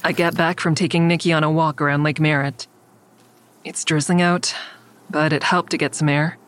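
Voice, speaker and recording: A woman's voice: deep voice, steady tone, moderate pace, fairly bright tone, slight background noise. An actress playing an uneasy young woman narrating her own story in a hesitant, confiding way in an audio drama. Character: uneasy young female first-person narrator in over her head.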